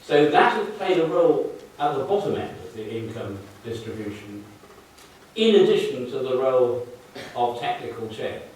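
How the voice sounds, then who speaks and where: A man's voice: mid-range voice, very animated, normal speed, a little mellow, recorded from a distance. Older Mancunian man lecturing in an echoey hall. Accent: northern English, Mancunian. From Manchester, England. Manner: dry, wry asides while explaining charts. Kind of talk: public lecture.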